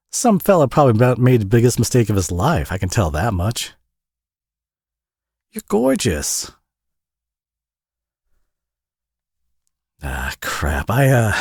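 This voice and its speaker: A man's voice: medium pitch, darkish tone, husky, no echo, studio quality, full character voice. A man acting a tired, flustered, friendly single dad with a Southern US accent in an intimate audio roleplay, rambling and stammering in a folksy, self-deprecating way. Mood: flustered, apologetic.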